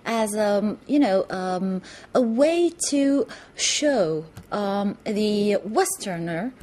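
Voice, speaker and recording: A woman from Lebanon, answering interview questions thoughtfully, with many pauses, fillers and restarts, in a TV studio. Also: mid-range voice, animated, a little mellow. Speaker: interview guest, author.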